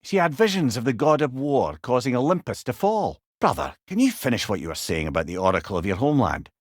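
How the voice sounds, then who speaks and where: A man's voice: medium pitch, no room sound, clean studio sound. A man voicing a witty, wise old storyteller in a video game, with a Scottish accent and an eloquent, wry, conversational manner. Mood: inquisitive, wry. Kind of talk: dramatic video game dialogue.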